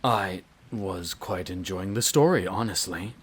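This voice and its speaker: A man's voice: sits low, unhurried, slightly rough. Haughty vampire master, a man acting. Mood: calm, indulgent. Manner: condescending, theatrical, drawling relish. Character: haughty, urbane male vampire master, coolly menacing. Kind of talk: dramatic audio roleplay narration.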